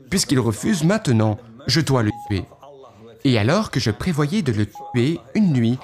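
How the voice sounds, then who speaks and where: A man giving an earnest first-person testimony, storytelling with dramatic pauses as he recounts what he thought and felt. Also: medium pitch, darkish tone.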